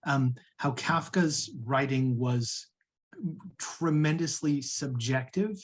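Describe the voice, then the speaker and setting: A man's voice: medium pitch, takes it slowly. A man asking a question at an online lecture over a video call, rambling and apologetic as he backs up to explain. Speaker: audience member asking a question.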